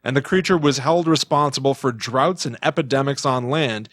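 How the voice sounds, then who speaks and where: A man co-hosting a podcast, explaining things in a casual, halting way as he thinks aloud. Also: mid-range voice, fairly bright tone, no room sound. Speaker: podcast co-host.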